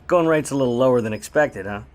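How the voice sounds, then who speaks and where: A man's voice: mid-range voice, fast talker, close to an everyday voice. A man playing a wry 1950s private eye who talks and narrates in slangy old radio noir style. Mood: world-weary narrating. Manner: wry, slangy, hard-boiled. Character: grudging wisecracking 1950s male private eye, hard-boiled narrator. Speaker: lead actor and narrator in a radio play.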